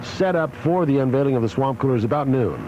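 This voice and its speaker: A man's voice: medium pitch, lively intonation, fast, darkish tone. A man hosting morning radio with droll, mock-serious casual banter. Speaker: morning radio show host. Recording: dull AM radio aircheck, faint noise.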